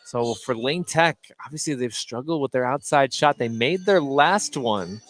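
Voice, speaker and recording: A man's voice: mid-range voice, animated, moderate pace, a bit bright. An American man doing live radio play-by-play of a basketball game, describing the action in clipped running phrases. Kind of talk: live radio sports commentary. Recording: noisy gym ambience, bouncing basketballs. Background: busy gymnasium with basketball bounces.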